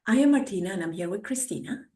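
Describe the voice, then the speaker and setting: A woman's voice: mid-range voice, expressive, quick pace, dark tone. A woman hosting a podcast, recorded in an echoing space at some distance from the mic. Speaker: podcast host. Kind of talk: scripted introduction, then casual conversation. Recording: roomy echo, distant from mic.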